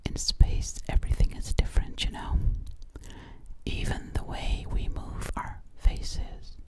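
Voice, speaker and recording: A young woman playing a gentle, curious alien girl in an ASMR roleplay, whispering breathily very close to the mic and softly repeating her phrases. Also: natural voice. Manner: slow, soothing, repeats words and phrases. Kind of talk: ASMR personal-attention roleplay. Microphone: very close to a large microphone.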